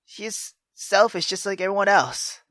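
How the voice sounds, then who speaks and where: A man hosting a gaming video with casual, reactive commentary, halting, repeating himself and swearing freely. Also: high voice, bright, forceful tone, no echo, studio quality.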